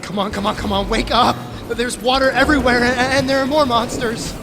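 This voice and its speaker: A man's voice: extremely high pitch, fairly even intonation, brisk, heavy background noise. A man playing a distraught, vengeful survivor in an audio drama, with raw, emotional delivery. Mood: panicked.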